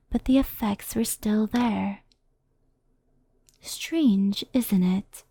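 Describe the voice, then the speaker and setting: A woman playing a gentle, wistful fox spirit in an ASMR roleplay, speaking softly and often in a whisper, close to the microphone. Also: mid-range voice, slightly rough, no room sound. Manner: soft-spoken, often whispery, unhurried explaining. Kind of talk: ASMR roleplay, soft storytelling. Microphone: close headphone ASMR microphone.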